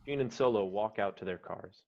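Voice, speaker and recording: Low-voiced man narrating, slightly dull sound. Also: fairly quick, darkish tone, slight background noise. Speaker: stage directions narrator. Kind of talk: scripted narration.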